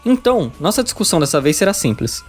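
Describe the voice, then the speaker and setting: Brazilian male essay narrator, higher-pitched and quick, casual and sweary delivery, music underneath. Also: sing-song, slightly rough. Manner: casual, profane. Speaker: video essayist reviewing visual novels. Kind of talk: scripted video essay narration, informal with swearing. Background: background music.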